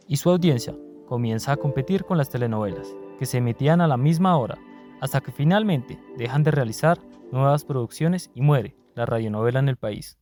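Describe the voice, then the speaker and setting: A man with a Colombian accent narrates a documentary from a script. His voice sits at a middle pitch, with faint music underneath. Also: darkish tone.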